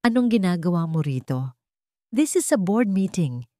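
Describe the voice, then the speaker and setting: A woman voicing an arrogant rich male heir who shouts in indignation, in a storybook reading. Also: lowish voice, big pitch swings, normal speed, no echo, clean studio sound. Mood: indignant. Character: arrogant rich heir, man, losing composure.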